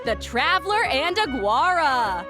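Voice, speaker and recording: High, lively tournament announcer woman. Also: measured pace, music in the background. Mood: upbeat, showy. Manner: bright showy presenter delivery, addressing the crowd. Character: cheerful, upbeat woman announcer interviewing tournament finalists. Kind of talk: polished game voice acting, event emcee.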